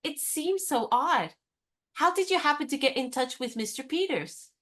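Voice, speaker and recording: A young woman of Edwardian London in a radio play. Her voice is high, and her manner is cool and composed, with an inquisitive edge. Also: animated, normal speed, fairly bright tone. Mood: curious, brisk.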